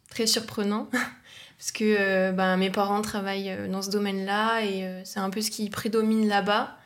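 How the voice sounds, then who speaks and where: A young woman in her twenties, a guest in a podcast interview, talking at length about her path with many fillers. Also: mid-range voice. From near the Luxembourg border, France. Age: young adult. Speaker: guest, agency co-founder.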